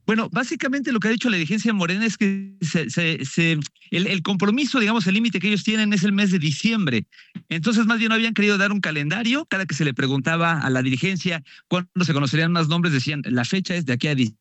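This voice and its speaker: A man's voice: sits high, fast talker, a bit bright, no echo. A man from Mexico giving a conversational live political report, with some hesitant restarts. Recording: dry, dull remote line.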